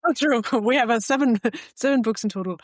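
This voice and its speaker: A podcast host with a Danish accent talks casually in an interview, stopping often with fillers as they hunt for words. Their voice sits at a middle pitch. The recording is dry and close. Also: fairly quick, no room sound. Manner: hesitant, many fillers like "like" and "um".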